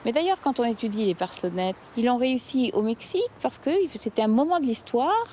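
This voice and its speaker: A woman who founded a heritage association, talking in a lively, chatty way during a conversation. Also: a little high, quick pace, a little hiss or hum. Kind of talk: interview conversation.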